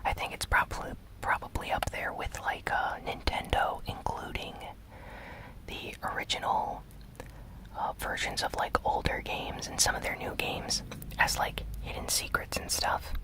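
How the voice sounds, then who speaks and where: ASMR news host needed: fully whispered, breathy, very slow and deliberate. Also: rough recording. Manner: slow, soft, deliberate delivery with pauses. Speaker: host of a gaming news show.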